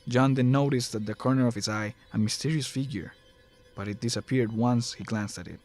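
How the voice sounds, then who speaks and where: A man's voice: medium pitch, brisk, a little mellow, soft background music. A man reading scripted third-person narration for a dramatic audio story.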